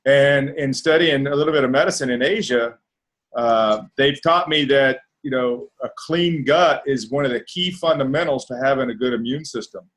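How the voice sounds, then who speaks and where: A man's voice: medium pitch, moderate pace, fairly bright tone. Man, host, upbeat, encouraging, casual chat.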